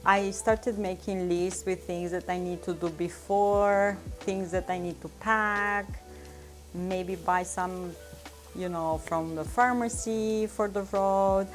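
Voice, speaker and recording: Slow-talking chatty woman, music behind. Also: mid-range voice, steady tone. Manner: chatty, laughs often, reads chat aloud. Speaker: vlogger cooking and chatting with live chat. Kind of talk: casual livestream chat. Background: soft background music.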